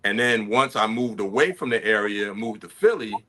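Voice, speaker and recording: A man in his sixties from Connecticut tells stories in a relaxed, easygoing way as a guest on a video call. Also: fairly low pitch, moderate pace. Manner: laid-back storytelling, often asks "you know what I mean". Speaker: guest hip hop artist. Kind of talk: casual interview chat.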